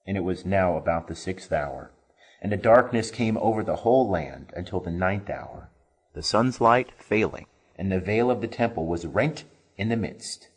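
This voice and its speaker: A man reading scripture aloud as a scripted narration, solemn and expressive in delivery. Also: low-pitched, normal speed, husky, poor audio. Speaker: scripture reader. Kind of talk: dramatic scripted Bible reading.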